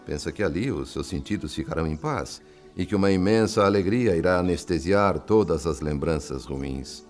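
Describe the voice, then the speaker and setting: An actor with a Brazilian accent playing a wise, kindly spirit friend in a radio drama, speaking in a theatrical, didactic way. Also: male voice, deep voice, normal speed, soft background music, lightly acted. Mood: earnest, instructive. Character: wise, kindly male spirit friend narrating his afterlife story.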